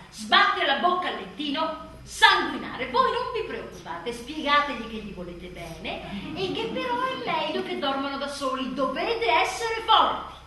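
An actress playing an exhausted, exasperated new mother in a bitterly funny, dramatic confessional stage monologue. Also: fairly high pitch. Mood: sarcastic. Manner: dramatic, sardonic, swinging from muttered to shouted. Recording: roomy distant theatre sound, faint noise.

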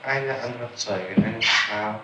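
A man with a fairly low voice speaks as a prosecutor on an old, noisy tape. He is formal and deliberate in an echoing room, putting insistent questions or reading statements. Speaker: public prosecutor. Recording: noisy echoey archival tape recording.

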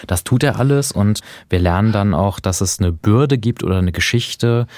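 Low-voiced man co-hosting a podcast. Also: moderate pace, a little mellow, no room sound. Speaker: podcast co-host. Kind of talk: conversational discussion of radio drama.

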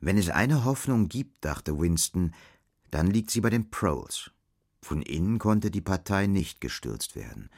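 An actor as the grave, detached male narrator of a dystopian radio play, delivering scripted literary prose in a measured way. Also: low voice, big pitch swings, vocal fry, darkish tone, full character voice.

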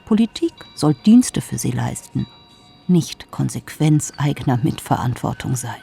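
A man delivering a reflective scripted monologue in a measured, musing way, sometimes dropping almost to a whisper. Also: medium pitch, big pitch swings, creaky, dark tone, breathy edge, soft background music. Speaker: essayistic narrator voice.

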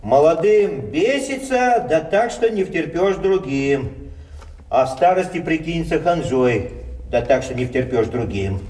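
Brash, high-voiced, swooping young arguer. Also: male voice, lively intonation, unhurried, darkish tone. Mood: scornful ranting. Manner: opinionated, sarcastic, ranting. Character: brash, argumentative younger man mocking old folk. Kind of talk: theatrical stage comedy acting. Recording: roomy, distant mic, faint noise.

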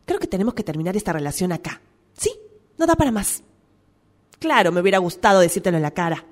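A woman's voice: on the low side, lively intonation, fast talker. A woman playing an exasperated, defensive girlfriend on the phone in a radio drama, with an Argentine Spanish accent. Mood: hurt, fed up. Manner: indignant, argumentative. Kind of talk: radio drama acting, one side of a phone call.

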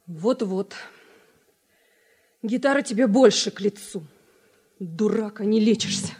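A woman acting in a Soviet radio drama as a lively, sharp-tongued young village girl. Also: medium pitch, measured pace, slightly rough. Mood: scornful. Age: young adult.

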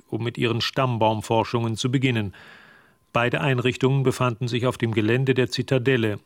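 A man as the narrator of a radio drama, reading literary prose in a measured, matter-of-fact way. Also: mid-range voice, no echo, studio quality, natural voice. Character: sober male storyteller narrating a mystery.

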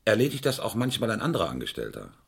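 An actor playing a brisk, businesslike police inspector with a slightly husky voice in a 1970s radio crime drama. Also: male voice, lowish voice, wildly swooping pitch, exaggerated voice. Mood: probing, matter-of-fact.

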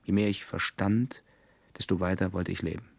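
A disillusioned slave worker narrates his awakening in a science fiction radio play. His low, husky voice is gravelly and close in a dry studio. He speaks gravely, with deliberate emphasis. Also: steady tone, no room sound, exaggerated voice. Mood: desperate, resolute. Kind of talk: 1970s German radio drama narration.

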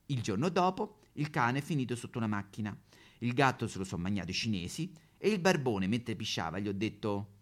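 A man's voice: mid-range voice, very animated, fairly quick. A man playing an aggrieved, neglected female Madonna statue in a satirical stage monologue, plaintive and melodramatic with a Roman accent. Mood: resentful, sardonic. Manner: melodramatic, plaintive, sudden swoops into wailing. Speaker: comic actor.

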